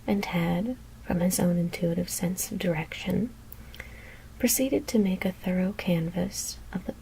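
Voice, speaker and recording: A woman whispers a scary story in a hushed, deliberate ASMR narration. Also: on the low side, vocal fry, a little hiss or hum, poor audio.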